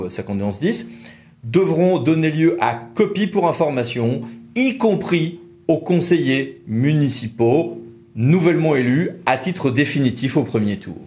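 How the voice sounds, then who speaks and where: A man's voice: medium pitch, a little mellow. A man with a French accent giving an informal, didactic legal explainer, posing questions and answering them in colloquial terms. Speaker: legal explainer presenting a law firm's videos.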